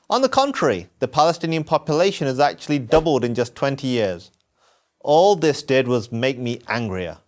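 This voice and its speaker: British man, expressively pitched scripted testimony. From England. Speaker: presenter of a personal testimony. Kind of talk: scripted narration.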